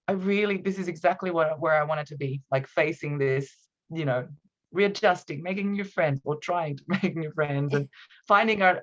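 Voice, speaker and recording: Guest, 30s woman, Romanian accent, low voice, reflective and halting, muffled call audio. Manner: reflective, hesitant, laughs often. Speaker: podcast guest, copywriter. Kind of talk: conversational interview.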